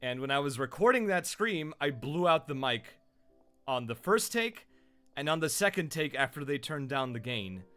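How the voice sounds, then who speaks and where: A man who is a voice actor and streamer, chatting casually and loudly on his live stream with lots of fillers. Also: fairly high pitch, sing-song, moderate pace, clear, resonant tone, soft background music. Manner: loud, chatty, rambling with fillers.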